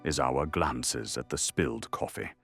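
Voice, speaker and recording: A man's voice: extremely low pitch, normal speed, vocal fry, fairly bright tone, faint music behind. A man narrating an audio drama in a dramatic, scripted storytelling style.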